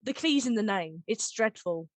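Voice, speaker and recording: A woman hosting a casual behind-the-scenes chat, gushing and giggly as she retells things in an animated way. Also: mid-range voice, normal speed, no echo. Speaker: podcast host and audio drama producer.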